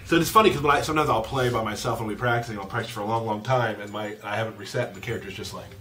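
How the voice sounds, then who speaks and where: A man hosting a casual live question-and-answer chat in an easygoing, joking, deadpan way. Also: lowish voice, racing, recorded from a distance. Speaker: livestream host from a game studio. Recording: faint background noise.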